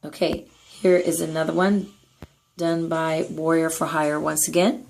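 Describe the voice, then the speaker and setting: A hoarse woman with a raspy voice gives a chatty, upbeat gameplay tutorial voice-over as clan leader. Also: deep voice, little pitch movement, a little slow, a little mellow, slight background noise, rough recording. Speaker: clan leader giving strategy tips.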